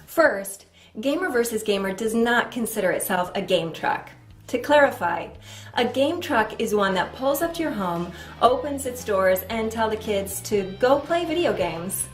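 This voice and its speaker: A woman's voice: fairly high pitch, animated, unhurried. A woman reading scripted promotional narration as the voice of an advert. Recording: roomy echo, music underneath. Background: background music.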